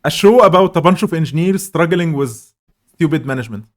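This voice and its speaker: A young man co-hosting a casual podcast chat, talking loudly and rambling with plenty of fillers. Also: on the high side, lively intonation, brisk. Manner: loud, rambling, laughs along. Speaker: podcast co-host.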